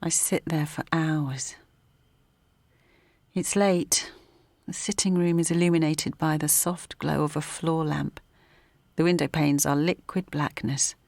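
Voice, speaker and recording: Alice is a determined mother, who speaks earnestly and reflectively. Her voice sits a little low. It is recorded close, with a dry sound. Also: fairly even intonation, unhurried, creaky, darkish tone, no room sound. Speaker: narrator and lead character. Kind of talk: audio drama narration.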